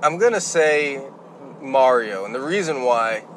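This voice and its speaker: A man chats as a podcast host in a loose, conversational way. He is blunt and jokey, with a mid-pitched voice. A faint layer of noise sits behind him. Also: expressive, a little slow, a bit bright. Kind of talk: casual conversational podcast chat about movies.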